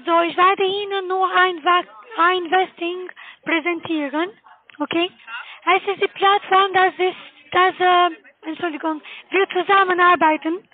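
Female telemarketer, very high voice, foreign accent, non-native phrasing, thin muffled phone line. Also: fairly even intonation, a little slow. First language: not German. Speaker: cold caller selling online investments. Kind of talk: phone sales pitch. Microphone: telephone call.

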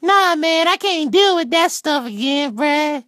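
A woman's voice: high voice, expressive, measured pace, a bit bright, no room sound, studio quality. A woman voicing a brash, loyal kid who talks in combative, repetitive slang. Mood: fired-up.

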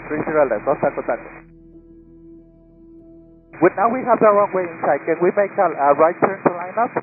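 A man's voice: sits high, expressive, fairly quick. A man speaking as a pilot, giving clipped readbacks over aviation radio. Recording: muffled cockpit radio transmission, music behind. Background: background music.

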